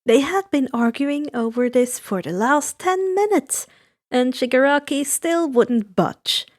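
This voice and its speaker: Female storyteller for fan fiction narration: high voice, slow, measured delivery.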